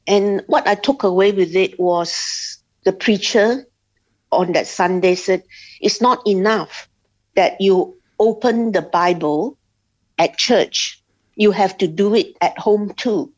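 Slow, hesitant woman from Singapore. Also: medium pitch, darkish tone. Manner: slow, hesitant, many restarts and pauses. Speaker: interview guest. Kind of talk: personal testimony in an interview. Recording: slightly dull lo-fi sound.

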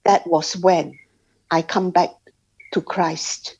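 Slow, hesitant woman from Singapore. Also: lowish voice, steady tone, darkish tone, breathy edge. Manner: slow, hesitant, many restarts and pauses. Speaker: interview guest. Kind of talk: personal testimony in an interview. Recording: slightly dull lo-fi sound.

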